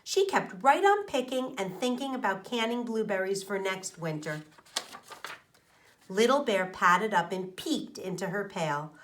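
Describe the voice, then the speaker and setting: A woman teacher reading a children's storybook aloud, expressive, explaining things to young listeners and asking them questions. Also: medium pitch, measured pace, noisy background.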